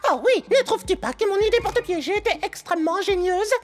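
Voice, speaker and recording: A woman's voice: very high voice, animated, fast. A woman voicing a small, brainy, clingy microbe child, a pompous and theatrical little scientist in a children's cartoon. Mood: smug, eager. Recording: loud music behind the voice.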